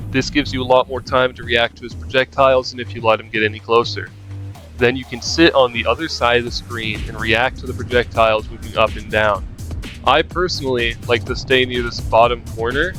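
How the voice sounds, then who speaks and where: A man with a mid-pitched voice narrates a video game guide. His delivery is casual walkthrough commentary, with game music playing faintly behind him. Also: a bit bright.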